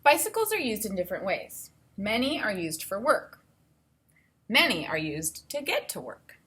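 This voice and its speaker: A woman teacher reading aloud to children and thinking out loud, explaining things step by step in an instructive way. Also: medium pitch, very animated, moderate pace. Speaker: elementary school teacher.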